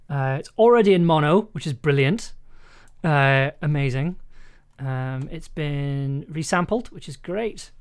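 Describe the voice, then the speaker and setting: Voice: mid-range voice, a little mellow. A streamer editing audio live, talking casually and hesitantly, half to themselves. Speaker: streamer and audio drama editor.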